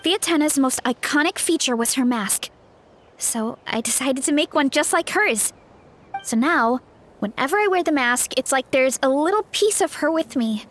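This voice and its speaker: Young game heroine, bubbly yet shy and unsure of herself, bright high voice. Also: female voice, expressive, normal speed, slight background noise. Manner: bubbly, shy, self-doubting. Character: earnest young woman who idolizes a masked hero. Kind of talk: video game voice acting.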